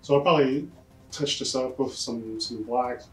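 A man's voice: medium pitch, moderate pace, fairly bright tone, slightly rough, soft background music, poor audio. A man in his forties giving an enthusiastic, casual unboxing commentary in a collection room, exclaiming over details with mild swearing. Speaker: collectibles vlogger. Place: indoor collection room with display shelves.

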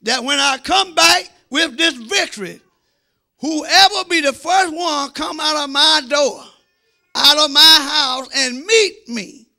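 A middle-aged man preaching an animated, emphatic sermon, repeating phrases and calling for response in a church, on a handheld microphone. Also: very high voice, slow pace, vocal fry. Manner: emphatic, repeating phrases, swinging between shouts and quieter asides. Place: church sanctuary, speaking from the front.

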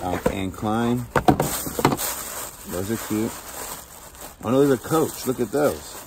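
A man chatting casually to viewers while unboxing shoes outdoors, filming first-person. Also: lowish voice. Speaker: storage auction vlogger. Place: outdoors by a cardboard box. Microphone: handheld camera, first-person view. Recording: loud outdoor background noise.

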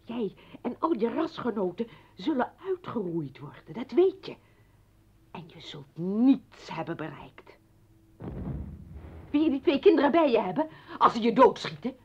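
Husky, indignant, defiant mother. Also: a little high, very animated, a little slow, pauses often, a little mellow, exaggerated voice. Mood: defiant, scathing. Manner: accusing, biting, sarcastic. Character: indignant, defiant mother confronting her estranged husband. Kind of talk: dramatic radio play acting. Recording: dull lo-fi old radio recording.

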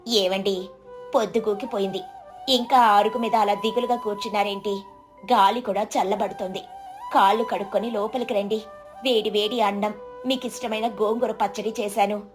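A woman voicing a clever village farmer's wife in a moral story, earnest and expressive, worried yet brave. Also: medium pitch, normal speed, soft background music, natural voice. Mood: caring. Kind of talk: dramatized Telugu moral story.

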